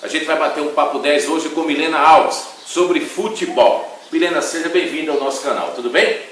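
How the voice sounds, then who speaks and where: A man's voice: a little high, moderate pace, fairly bright tone. Brazilian male sports host in an echoey room. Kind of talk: presenting and interviewing on an online football show. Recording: very echoey room, distant mic, faint hiss.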